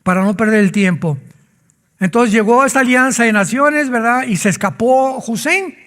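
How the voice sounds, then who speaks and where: A man's voice: high-pitched, fairly bright tone, long reverb tail. A man preaching a sermon as a pastor, emphatic and full of rhetorical questions.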